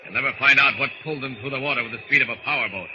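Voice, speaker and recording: A man's voice: mid-range voice, rapid-fire, lightly acted. A man playing a confident, decisive superhero who talks through his actions aloud, in old radio serial style. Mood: brisk, musing. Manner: narrates own actions aloud. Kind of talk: 1940s radio serial acting. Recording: noisy, dull old radio recording.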